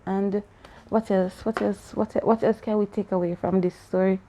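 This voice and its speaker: A woman's voice: mid-range voice, fairly even intonation, normal speed, mellow. A woman talking a child through a story, asking questions, laughing often and playfully challenging the child's answers. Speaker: aunt discussing a story with a child. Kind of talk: casual discussion after a story reading.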